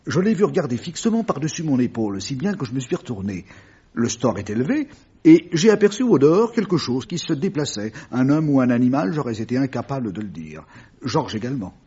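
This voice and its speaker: A man's voice: medium pitch, a little mellow, husky, highs cut off. A man playing a shaken, bereaved retired tin miner in a radio mystery, answering in an earnest, hesitant manner. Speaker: actor in a radio mystery play. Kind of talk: French radio drama acting.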